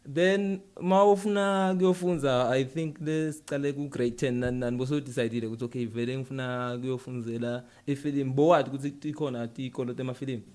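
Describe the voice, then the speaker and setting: A man's voice: mid-range voice, steady tone, fast talker, few pauses, a little mellow, smooth. A man with a South African accent, interviewing casually and informally as a podcast host. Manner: informal, code-switching.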